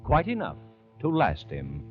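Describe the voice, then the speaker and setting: A grave, deliberate storyteller whose pitch rises and falls dramatically. It is scripted 1940s radio narration. Clear music plays behind the dull old recording. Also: male voice, wildly swooping pitch. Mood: slow, quietly ironic.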